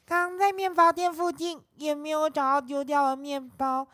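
A woman voicing a starving stray cat that complains in a drawn-out whine. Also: sits high, little pitch movement, background noise, lightly acted. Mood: plaintive. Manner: drawn-out whining.

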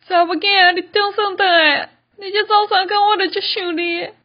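A woman's voice: extremely high pitch, fairly even intonation, moderate pace, a little hiss or hum. A teenage girl acting a doting, protective, blustery father in an amateur radio drama. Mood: excited, protective. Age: teens. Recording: dull lo-fi student recording.

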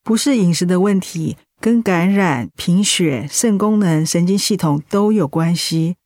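A woman's voice: on the low side, unhurried, darkish tone, no echo, clean studio sound, lightly acted. A woman playing a serious, composed doctor in a radio drama. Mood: grave, professional.

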